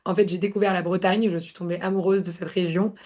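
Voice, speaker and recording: A woman's voice: fairly low pitch, fairly even intonation, quick pace, a little mellow, dull audio. A woman speaking conversationally as an interview guest, candid and reflective, often searching for words. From Paris, France. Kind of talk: conversational personal testimony.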